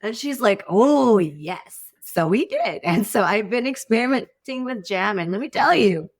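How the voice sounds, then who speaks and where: A middle-aged woman chatting casually as a podcast guest, expressive and informal in the way she talks. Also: mid-range voice, measured pace. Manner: expressive, informal, peppers talk with "like" and "you know". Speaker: guest, farmer and former academic. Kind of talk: casual, conversational podcast chat.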